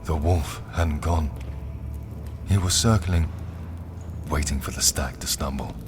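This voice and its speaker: A man narrating a dark first-person audio drama, brooding and deliberate, with dramatic pauses. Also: a bass voice, over music. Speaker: narrator and performer. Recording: clear ambient noise behind the voice.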